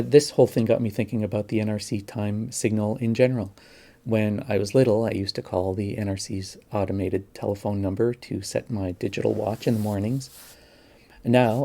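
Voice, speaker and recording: A man's voice: on the low side, vocal fry, mellow. A man narrating his own recordings in an informal, explanatory way.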